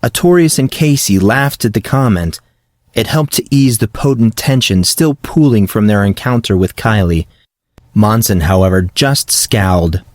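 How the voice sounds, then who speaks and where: A man's voice: fairly low pitch, expressive, moderate pace, dry recording. Man narrating a story, dry close sound. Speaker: audiobook narrator. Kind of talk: scripted fantasy story narration.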